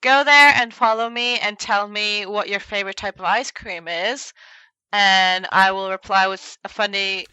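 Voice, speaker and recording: A woman's voice: medium pitch, steady tone, unhurried, bright, forceful tone, smooth, dry recording, muffled recording. A woman chatting casually and playfully as a panelist on a podcast. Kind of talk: casual chat.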